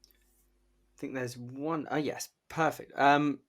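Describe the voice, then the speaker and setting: A man's voice: medium pitch, steady tone, takes it slowly. A man interviewing a guest as podcast host in an informal, jokey, conversational way. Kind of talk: casual chat, interviewing.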